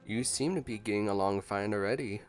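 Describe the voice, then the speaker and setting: A man's voice: lowish voice, brisk. A man giving casual gameplay reaction commentary as a streamer, with dry, joking asides. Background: faint game music.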